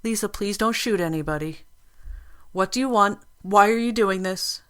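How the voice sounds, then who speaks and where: A woman's voice: mid-range voice, moderate pace, fairly bright tone, clear, resonant tone, pitched above the performer's own voice, natural voice. A woman voicing a nervous, polite young female student who talks in a placating way.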